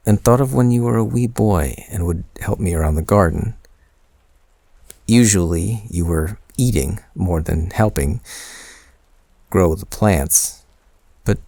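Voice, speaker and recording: Man acting shy, low-voiced sentimental young guy. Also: gravelly, no room sound, clean studio sound, full character voice. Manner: hesitant, soft-spoken teasing, stammering. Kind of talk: intimate boyfriend audio roleplay.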